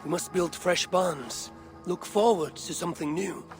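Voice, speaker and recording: An actor playing an honourable, steadfast Viking raider in a video game cutscene, measured and earnest. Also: male voice, high voice, music in the background. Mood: solemn pleading.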